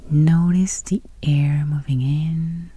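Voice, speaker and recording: A woman guiding a meditation in a soft, breathy near-whisper, speaking gently and soothingly. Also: deep voice, fairly even intonation, slow pace. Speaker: meditation guide. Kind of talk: guided meditation.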